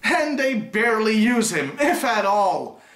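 Lively male reviewer in an echoey room. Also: high-pitched, unhurried. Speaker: toy review host. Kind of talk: scripted presenting to camera. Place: living room, on a sofa.

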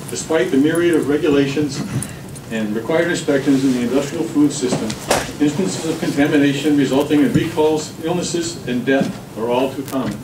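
A middle-aged man reading prepared testimony in a measured, formal way at a public hearing. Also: a little high. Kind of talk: prepared testimony read aloud. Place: government committee hearing room. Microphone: camera across the room. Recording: distant, echoey room recording with background noise.

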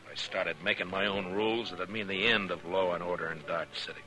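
An American actor playing a weary, stern, principled frontier marshal in a 1950s radio western. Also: male voice, sits low, fairly even intonation, brisk, pushed and bright, low-quality recording. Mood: resigned. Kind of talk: 1950s radio drama acting. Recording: 1950s radio recording, faint noise.

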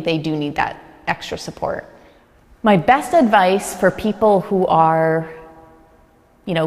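Chatty woman in a reverberant gallery. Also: lowish voice. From United States. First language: Hungarian. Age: 30s. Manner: earnest, chatty, quick laughs, many fillers. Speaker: refugee services manager. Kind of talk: interview answers. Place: large gallery room, indoors. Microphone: small lapel mic.

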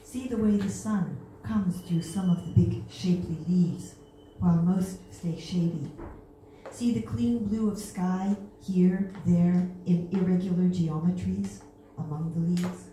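An older woman reading her own poem at an open mic, wistful and lifting into bright emphasis on the quoted lines. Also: on the low side, fairly even intonation, unhurried, a little hiss or hum. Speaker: poet. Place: small stage in an indoor venue. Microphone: stand mic, filmed from a far camera. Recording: echoey room from far off.

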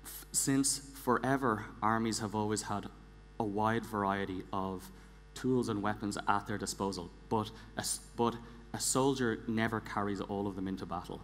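A man speaks from a big stage with long hall reverb and a clear background hum. His voice sits fairly low, and he delivers a prepared presentation deliberately, repeating words for emphasis. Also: steady tone, a bit bright. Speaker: conference speaker, engineering director. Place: large theatre stage, indoors.

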